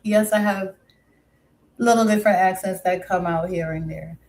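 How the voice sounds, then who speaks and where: A woman's voice: fairly low pitch, fairly even intonation, normal speed, darkish tone. Chatty woman with a mixed New York accent. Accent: mixed New York and West Indian. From Brooklyn, New York. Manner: chatty, greets viewers by pet names. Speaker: live stream host. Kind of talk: casual live stream chat.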